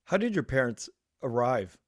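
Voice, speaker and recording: A man hosting an interview show, asking questions in a measured, curious way. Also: medium pitch, sing-song, dry recording. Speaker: podcast host. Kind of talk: interview host with scripted intro. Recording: dry close mic, faint music.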